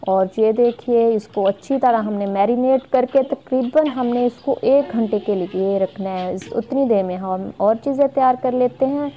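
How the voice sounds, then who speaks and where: A woman's voice: a little high, moderate pace, few pauses, highs cut off, slight background noise. A woman hosting her own cooking vlog, narrating a recipe step by step in a chatty, repetitive way with fillers, recorded on a phone in a home kitchen. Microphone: phone camera filming the pot.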